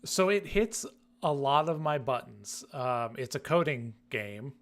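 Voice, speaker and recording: A man's voice: mid-range voice, animated, unhurried. A man co-hosting a gaming podcast, explaining things casually. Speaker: podcast co-host.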